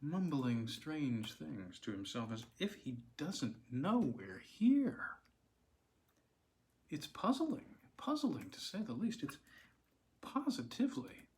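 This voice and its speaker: Man doing a hushed whispering onlooker, wry, mock-puzzled, unhurried. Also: a little high, big pitch swings, voice pushed up, exaggerated voice. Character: hushed male onlooker commenting on a quiet man.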